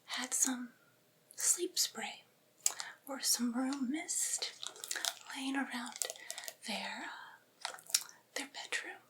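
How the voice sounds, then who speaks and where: A woman performing an ASMR roleplay as a doting, patient familiar, soothing and coaxing in a gently reassuring way. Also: high-pitched, lively intonation, drawn-out words, pauses often, noisy background. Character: doting, patient female vampire's familiar soothing their master. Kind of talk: whispered ASMR personal-attention roleplay.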